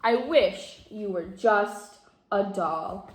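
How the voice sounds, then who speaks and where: A woman voicing a gentle little girl who talks in a tired, pleading way, recorded in an echoey room. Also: medium pitch, extremely slow. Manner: pleading and plaintive.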